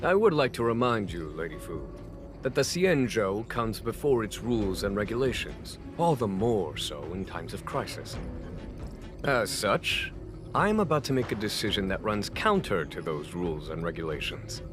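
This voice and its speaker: A calm, courteous game general with a low voice that moves in lively, wry turns over background music. He speaks formally and with measure, as a commander of a spacefaring fleet would. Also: moderate pace. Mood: measured, wry. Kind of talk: scripted video game dialogue.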